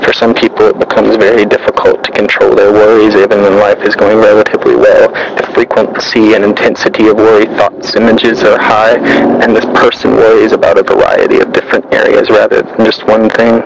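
Low, creaky man reading in flat tones. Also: a little fast, background noise. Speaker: narrator. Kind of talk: scripted literary monologue, read aloud.

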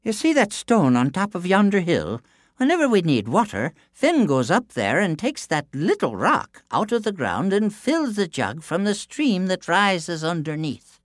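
A man voicing a clever, calm giant's wife in a storybook tale, soothing and sly in a raised voice. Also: high-pitched, unhurried, dry recording, clean studio sound, voice pushed up, full character voice.

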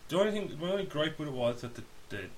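A man's voice: a little high, lively intonation, racing. A man co-hosting a casual podcast chat, blunt and sweary in the way he chips in. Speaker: podcast co-host. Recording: clear background hiss.

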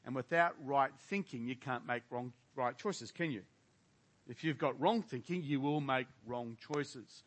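A man's voice: mid-range voice, unhurried. An older man, a pastor, leading a prayer and preaching a sermon, talking straight to his congregation and putting rhetorical questions to them. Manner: frequent fillers and restarts.

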